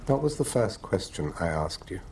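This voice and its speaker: An actor playing a man who gently questions a confused patient, in a calm, probing way. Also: sits low, normal speed, slight background noise.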